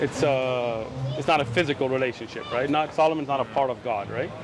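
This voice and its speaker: A man's voice: mid-range voice, brisk, noisy background. A young man from Pakistan, raised in San Diego, chatting persuasively about faith with strangers on a city street and often checking agreement with "right?". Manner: friendly, persuasive, frequent "right?" tags. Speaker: street preacher.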